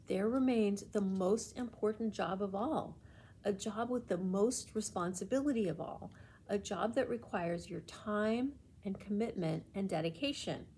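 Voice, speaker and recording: A woman's voice: mid-range voice, a little mellow, a little hiss or hum, lightly acted. Woman reading as an encouraging, emphatic schoolteacher. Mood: earnest, solemn. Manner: measured, emphatic explaining to children.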